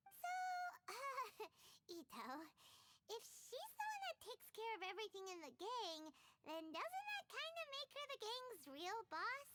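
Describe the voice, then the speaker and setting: A woman voicing a tiny, excitable childlike floating companion from an anime video game, squeaky, dramatic, anxious and cheeky. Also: a little slow, very noisy, exaggerated voice. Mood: curious, cheeky. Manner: dramatic, exclamatory, refers to self in third person.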